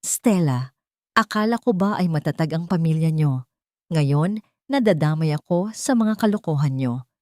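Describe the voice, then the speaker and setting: A woman's voice: low voice, expressive, normal speed, warm tone, dry recording, studio quality. A woman voicing a bitter, accusing husband with a wounded ego in an audio story, with a Filipino accent.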